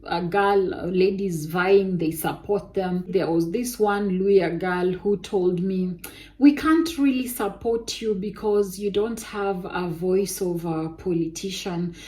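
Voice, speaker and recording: A young woman from Kenya with a soft voice, telling viewers a personal story in a casual, animated way. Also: fairly low pitch, slow. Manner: animated testimony with Kenyan interjections like "eh" and "kai".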